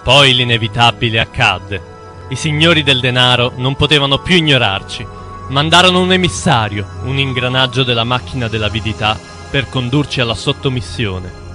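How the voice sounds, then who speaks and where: An actor playing a brooding, heroic warrior who narrates a mock epic in slow, portentous phrases. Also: male voice, medium pitch, pushed and bright, lightly acted. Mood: grim, proud. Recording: music under the voice.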